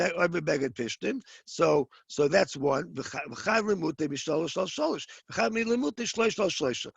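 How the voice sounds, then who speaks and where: A middle-aged rabbi teaching a Talmud class, explaining step by step with many restarts and Hebrew terms mixed in. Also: male voice, on the high side, vocal fry, husky, dry recording, muffled recording. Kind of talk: informal Talmud lecture.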